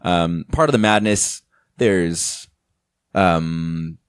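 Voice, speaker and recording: A man's voice: low voice, very slow, clean tone, dry recording, clean studio sound. Joking man on a comedy podcast. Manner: loose, joking, chuckles a lot. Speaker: podcast co-host. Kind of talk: improvised comedy podcast chat.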